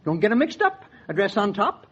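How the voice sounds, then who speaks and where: An actor playing an absent-minded, fussy old rich man with a creaky, husky voice in 1940s radio style. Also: high-pitched, huge pitch swings, a little fast, fairly bright tone, full character voice. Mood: muttering, fussy. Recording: dull vintage 1940s radio recording.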